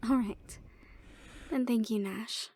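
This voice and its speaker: A gracious, loyal young lady , played by a woman, in a fantasy audio drama. Her voice is high, recorded dry and close. She speaks politely and gently. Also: steady tone, slow, no echo. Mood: soft, tender. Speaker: voice actor. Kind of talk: romantic fantasy audio roleplay acting.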